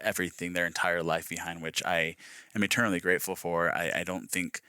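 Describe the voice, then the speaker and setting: A man's voice: sits low, flat delivery, brisk, pushed and bright, clear, resonant tone, dry recording, clean studio sound. A young man from Peru, talking frankly as a podcast guest and chuckling often. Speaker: podcast guest, real estate agent. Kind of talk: casual chat.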